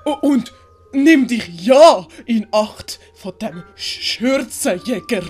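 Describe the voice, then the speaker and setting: A woman's voice: fairly high pitch, lively intonation, takes it slowly, darkish tone, slightly rough, faint music behind, exaggerated voice. A woman voicing an anxious, gossipy servant in a comic audiobook, earnest and cautioning. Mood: worried warning. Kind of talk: German audiobook commedia dell'arte acting.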